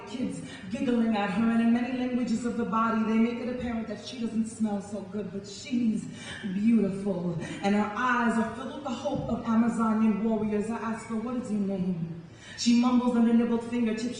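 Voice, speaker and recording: A woman's voice: a little high, darkish tone. A young woman, performing as a spoken-word poet at a live event. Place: live on stage in a large echoey hall. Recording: echoey hall from far off, faint noise.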